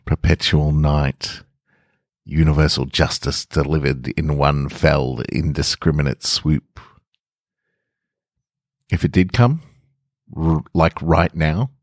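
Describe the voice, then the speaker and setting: A man hosting a podcast, reading a short story slowly and reflectively and signing off casually. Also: extremely low pitch, no echo, clean studio sound. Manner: slow, quiet, reflective reading. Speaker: podcast host and story reader.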